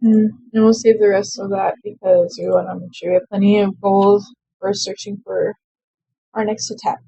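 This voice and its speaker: A woman's voice: medium pitch, level tone, moderate pace, creaky, warm tone, breathy edge, dry recording, low-quality recording. Chatty young woman gamer, dry close sound. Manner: chatty, self-deprecating, laughs often. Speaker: let's play gamer. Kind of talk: casual game commentary.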